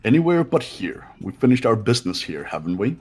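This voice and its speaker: A man's voice: lowish voice, big pitch swings, fairly quick, darkish tone, slightly rough. A man voicing a haughty, cold, impatient student rival in a visual novel, with a condescending, curt manner. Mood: dismissive. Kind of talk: visual novel character reading.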